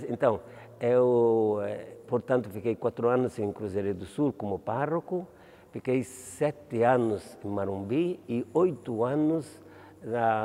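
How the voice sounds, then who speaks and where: A man in his seventies from Malta, speaking with a Maltese accent, a priest answering interview questions in a halting way, restarting words and asking "entende?". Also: fairly low pitch, dark tone, long reverb tail. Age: 70s.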